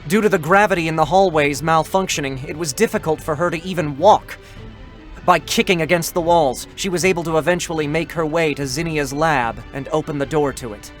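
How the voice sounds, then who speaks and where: Guy narrator, slightly high voice, dramatic scripted storytelling, soft music behind. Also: fairly quick.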